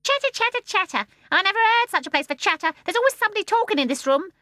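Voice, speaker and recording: A woman voicing a bossy, self-important, greedy old male starling with a shrill voice, for a storybook. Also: animated, quick pace, sharp tone, no room sound, clean studio sound, heavily acted. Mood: scolding.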